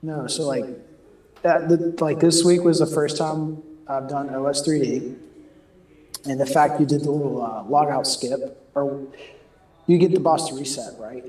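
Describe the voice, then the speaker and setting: A man with a raspy voice from a cold, chatting loosely and laughing during a game raid. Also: fairly high pitch, steady tone, measured pace, pauses often, a little mellow, echoey room, rough recording. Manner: chatty, rambling, laughs often. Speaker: raid member on voice chat. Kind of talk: casual gaming voice chat.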